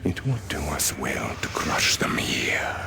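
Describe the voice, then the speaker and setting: A man playing a cold, scheming dark warrior commander in a video game, very deep and gravelly, measured and sinister. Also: sing-song, in a whisper, over loud music, full character voice. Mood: sinister, disdainful. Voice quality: very deep, gravelly, breathy at times. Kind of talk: video game cutscene villain acting. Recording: loud rumbling game noise behind.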